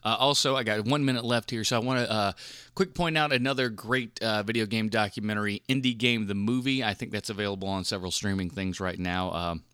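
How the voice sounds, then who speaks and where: A man's voice: fairly low pitch, brisk, fairly bright tone, clear, resonant tone, no echo, clean studio sound. A man hosting a film podcast solo in a casual, rambling, informal and mildly profane way. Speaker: podcast host reviewing films.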